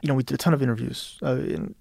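A man's voice: mid-range voice, fairly even intonation, very fast, creaky, a little mellow, no room sound. A man from Peru talking as an interview guest, rambling with many restarts and "you know". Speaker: interview guest, novelist and radio producer. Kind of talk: casual interview conversation.

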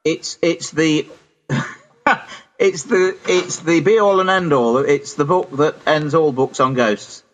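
A man's voice: fairly high pitch, slow, poor audio. A British man chatting casually and chummily as co-host of a radio show, with a teasing streak.

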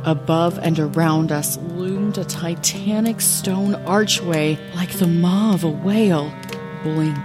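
Actress as wry lighthouse keeper narrator, slow-paced, expressive fantasy audio-drama storytelling. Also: female voice, fairly low pitch, music in the background. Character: wry lighthouse keeper retelling her adventurous past. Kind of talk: scripted first-person fantasy storytelling.

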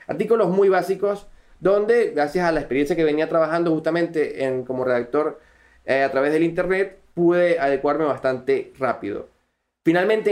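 Venezuelan guy on a podcast, earnest, chatty, bit high-pitched. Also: a little mellow. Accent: Venezuelan Spanish. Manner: earnest, fluent storytelling with frequent restarts. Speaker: guest. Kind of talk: podcast interview.

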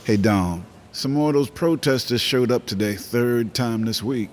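A man's voice: mid-range voice, a little mellow, slight background noise, lightly acted. Man playing a laid-back older gatekeeper. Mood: genial. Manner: laid-back, folksy, unhurried. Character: genial older male security gatekeeper. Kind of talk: audio drama acting.

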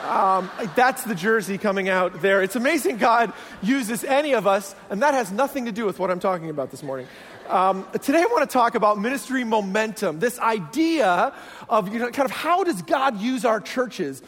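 A man's voice: sits high, animated, moderate pace, fairly bright tone, slight background noise. A man with a Canadian accent, preaching as a guest pastor in a self-deprecating, joking way. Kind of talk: humorous sermon. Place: large church sanctuary. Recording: long church hall reverb.

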